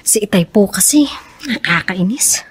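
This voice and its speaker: An actress playing a polite, troubled young student niece in a Filipino radio drama. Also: female voice, mid-range voice, expressive, measured pace, husky. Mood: annoyed. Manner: polite, apologetic.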